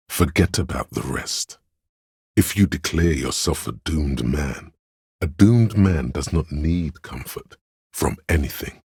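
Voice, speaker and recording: A man voicing a video game's inner voice of a pompous, grandiose art critic, in a florid, theatrical delivery with a gravelly, husky tone. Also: rumbling low, darkish tone, no echo, clean studio sound, exaggerated voice. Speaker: video game skill narrator. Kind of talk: theatrical game voice-over.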